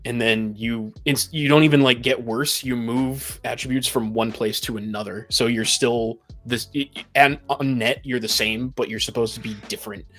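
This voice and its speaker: A man's voice: mid-range voice, steady tone, normal speed, few pauses, a bit bright. A man in a casual podcast discussion, making his points emphatically and restating them. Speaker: podcast panelist. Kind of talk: casual opinionated discussion of tabletop games.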